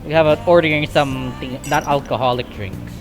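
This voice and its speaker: A woman's voice: low voice, fairly quick. A woman with a Filipino accent, reacting casually as she streams a game. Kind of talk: casual let's-play reactions. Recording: game music behind.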